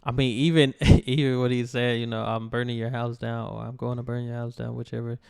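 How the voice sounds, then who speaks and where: A man's voice: mid-range voice, little pitch movement, fairly quick, vocal fry, a little mellow, clear, resonant tone, no echo, studio quality. A young American man hosting a reaction video, talking in a chatty, rambling and enthusiastic way. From United States. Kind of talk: casual reaction commentary.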